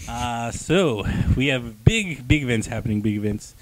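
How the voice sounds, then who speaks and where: A man's voice: mid-range voice, animated, normal speed, slight background noise, poor audio. Man hosting a casual comics chat. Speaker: host of a comic book review show.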